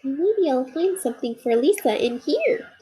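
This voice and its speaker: A woman's voice: sits high, sing-song, a little slow, warm tone, pitched above the performer's own voice. A woman voicing a small, earnest toy bear with a wondering, childlike manner in a storybook. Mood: wondering, hopeful.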